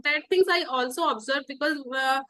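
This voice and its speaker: A North Indian woman in her thirties, a native Hindi speaker, chatting in halting, giggly learner's English in an interview. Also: high-pitched, bright, forceful tone, dry recording. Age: 30s. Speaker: guest, English-learning YouTuber. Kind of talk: casual conversational interview.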